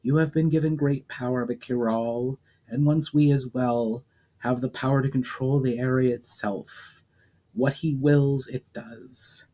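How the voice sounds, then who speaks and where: A calm, knowing female water spirit muses half to herself, as a man reads her lines. It comes from a read-aloud fantasy storybook. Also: medium pitch, a little slow, lightly acted, low-quality recording. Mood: musing. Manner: musing, thoughtful.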